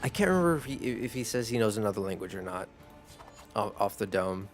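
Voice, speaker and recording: Rambling man streamer, low voice. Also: fairly quick, soft background music. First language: Spanish. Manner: rambling, relaxed, laughs easily. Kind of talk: casual chat while gaming.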